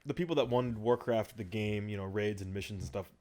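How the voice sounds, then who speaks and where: A man co-hosting a podcast in a casual chat, animated as he steers the discussion. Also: fairly low pitch, brisk. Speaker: podcast co-host.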